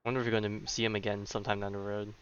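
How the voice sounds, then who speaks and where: A man's voice: on the low side, flat delivery, rapid-fire, a bit bright, clean tone, dull audio. Casual male game streamer, musing aloud. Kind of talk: casual live gameplay commentary.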